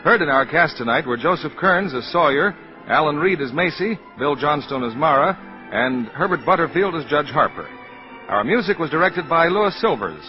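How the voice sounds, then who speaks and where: A man announces for a 1940s radio broadcast with a formal, projected read. His fairly high voice moves animatedly up and down. The sound is dull and old, with faint music underneath. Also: lively intonation, moderate pace.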